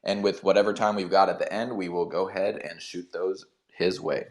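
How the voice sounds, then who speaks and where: Lowish-voiced relaxed co-host. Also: male voice, fairly quick. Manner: relaxed, friendly, uses fillers. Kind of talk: casual interview hosting.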